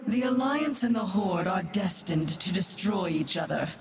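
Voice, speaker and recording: An actress voicing a sly, haughty dreadlord demoness for a video game, with menacing, sardonic wit and a breathy delivery that often sinks toward a whisper. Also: female voice, medium pitch, lively intonation. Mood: sly menace. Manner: slow, deliberate, theatrical, sardonic. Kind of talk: video game character voice lines. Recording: echoing voice with game ambience noise.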